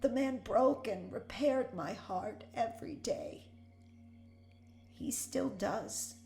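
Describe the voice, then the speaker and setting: A woman playing a proud, devout older Puritan prisoner in a period audio drama, speaking solemnly and deliberately in archaic phrasing. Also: a little high, darkish tone, soft background music. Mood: tender, solemn. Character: defiant, devout older imprisoned Puritan wife, proud and unbending. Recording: roomy echo.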